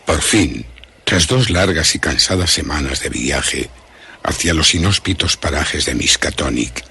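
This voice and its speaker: A man playing a weary traveller narrating his diary in a radio horror drama, with a gravelly, raspy voice. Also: rumbling low, animated, unhurried, sharp tone, exaggerated voice.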